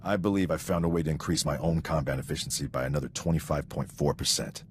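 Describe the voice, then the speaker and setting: A man's voice: a bass voice, steady tone, fast talker, slight background noise. A man playing a dutiful military sergeant in a video game, formal, precise and deferential. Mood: earnest, respectful. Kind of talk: video game dialogue acting.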